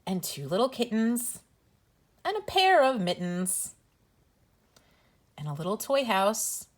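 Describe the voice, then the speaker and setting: A woman reading a bedtime picture book aloud for young children as a host, with deliberate phrasing. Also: a little high, sing-song. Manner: slow, deliberate phrasing for small children, drops into whispers.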